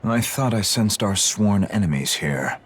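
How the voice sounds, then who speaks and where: A man playing a grim, coldly pragmatic hunter in a video game, speaking in a measured, formal, stern way. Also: on the low side, lively intonation, breathy edge. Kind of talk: dramatic video game voice acting. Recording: loud music under the voice.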